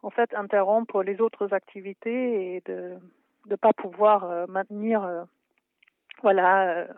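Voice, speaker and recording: A woman from Germany, native German speaker, answering interview questions in French with a German accent, hesitant and full of filler pauses. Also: mid-range voice, fairly even intonation, no room sound. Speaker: photography historian and translator. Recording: tinny dry phone line.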